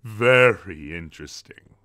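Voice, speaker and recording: A man plays a gossipy little gnome telling tales in a cartoon dub. His voice is bright and theatrical, savouring words slowly and knowingly. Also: deep voice, expressive, no room sound, heavily acted. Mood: sly, gossipy. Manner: knowing, dramatic storytelling. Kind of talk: comic dub character narration.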